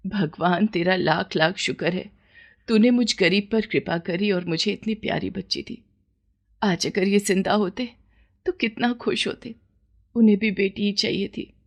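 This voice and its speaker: A woman's voice: medium pitch, unhurried. A poor village mother played by a female narrator speaks humbly and earnestly. She is deferential and eager to work, a figure from a dramatized moral story. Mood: grateful, wistful.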